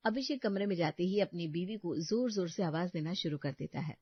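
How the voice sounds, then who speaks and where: A woman's voice: on the low side, a little fast, husky, no echo. Woman narrating a storybook. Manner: steady, storytelling. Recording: dull lo-fi dry recording.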